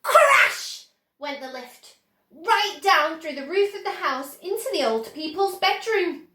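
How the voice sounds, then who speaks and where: High, very animated woman storyteller. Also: slow. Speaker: children's story reader. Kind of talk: expressive storybook narration. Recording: roomy, echoing indoor sound.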